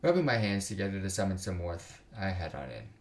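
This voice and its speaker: Young guy streamer reading a visual novel out loud, lowish voice, swings up and down a lot, slangy side comments, echoey room. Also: very animated, fairly quick. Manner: stumbles while reading aloud, slangy joking asides. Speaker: video game streamer. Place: indoors at a computer.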